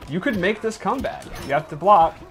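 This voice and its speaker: A man with a slightly high voice chats through a fighting game in a casual commentary style. Game music plays clearly behind him. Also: lively intonation, brisk. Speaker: commentator and player. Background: fighting game music and sounds.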